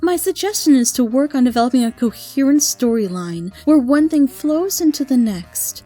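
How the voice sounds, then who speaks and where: A woman narrates a scripted review in a high voice that moves up and down in a lively way. She sounds measured and opinionated, with a mellow tone to her delivery. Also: soft background music. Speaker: audio drama reviewer.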